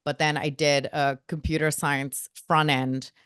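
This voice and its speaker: A woman from India, a guest on a marketing interview, telling her career story in a lively, self-mocking way. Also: deep voice, measured pace, smooth, no echo, clean studio sound. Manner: lively, self-mocking, quotes people in voices. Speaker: guest, marketing agency co-founder.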